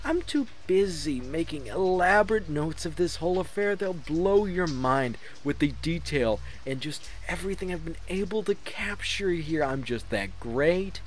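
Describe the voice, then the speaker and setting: An actor playing a conceited, defensive hack author in a comedic audio drama, pompous and blustering. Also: male voice, on the high side, lively intonation, unhurried, hardly any pauses. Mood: smug. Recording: noisy amateur mix, faint hiss.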